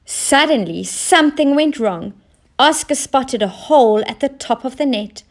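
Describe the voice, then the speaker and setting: Female storybook narrator, higher register, unhurried and deliberate, expressive emphasis for young listeners. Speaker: teacher reading stories aloud.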